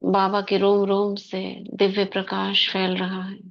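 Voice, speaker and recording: A woman guiding a spiritual meditation in slow, soft short phrases with long pauses. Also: mid-range voice, monotone, creaky, lo-fi sound. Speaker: meditation guide. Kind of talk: guided meditation commentary.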